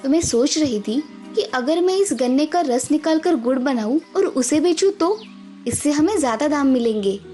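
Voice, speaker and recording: A woman voicing a determined, respectful young village daughter-in-law in an animated story, earnest and polite but firm. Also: high voice, moderate pace, soft background music. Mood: thoughtful. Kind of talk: Hindi animated moral story dubbing.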